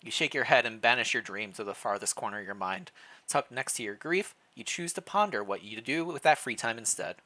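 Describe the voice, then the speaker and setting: Casual livestream reader: playful, expressive pitch, bright forceful tone, reacts out loud while reading. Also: brisk. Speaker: live streamer reading fan fiction aloud. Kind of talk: casual livestream read-aloud with chat.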